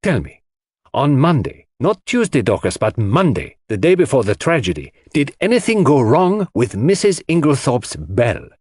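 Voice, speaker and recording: A man voicing an excitable, precise, self-satisfied male detective in a dramatized mystery audiobook. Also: mid-range voice, moderate pace, husky, no room sound, pitched above the performer's own voice. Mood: excited, urgent. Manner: precise, theatrical, exclamatory. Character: excitable, fastidious French-speaking male detective, pleased with himself.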